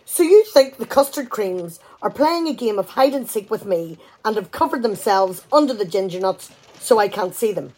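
An actress playing an exasperated, sarcastic wife in a radio play comedy, talkative and full of indignant questions. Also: female voice, medium pitch, animated, normal speed, hardly any pauses, darkish tone, close to an everyday voice, low-quality recording.

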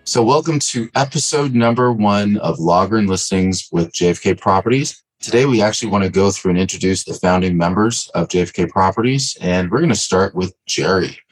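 A man's voice: low voice, brisk, few pauses, soft background music, poor audio. A man hosting a podcast, opening the episode and asking questions in an easygoing way. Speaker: podcast host.

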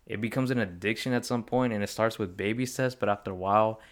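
A man's voice: lowish voice, fast. A man in his twenties hosting a solo motivational podcast, talking in an earnest, rambling way and often correcting himself mid-sentence. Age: 20s. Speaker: podcast host.

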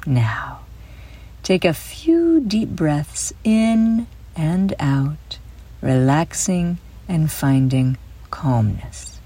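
A woman narrates a guided visualization for children as its host. Her voice sits a little low and she speaks very slowly. She gives each instruction in a soothing, deliberate manner, with gentle emphasis on key words. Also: lively intonation, slightly rough. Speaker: host and guide of a children's mindfulness audio show.

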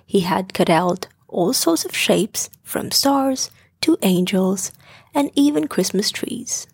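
A woman narrating a bedtime story in a hushed, gentle manner. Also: mid-range voice, animated, takes it slowly, slightly rough, dry recording.

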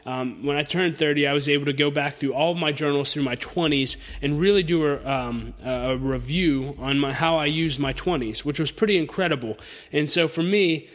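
A man's voice: a little high, moderate pace, in a large hall. A thirty-year-old man hosting a solo podcast, speaking informally and earnestly to listeners in an encouraging, conversational lesson style. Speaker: podcast host.

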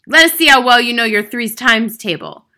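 A woman voicing a huge bullying headmistress in a storybook, booming and barking with scorn and rage. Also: brisk, pushed and bright. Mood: menacing. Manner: booming, barking, hurling insults.

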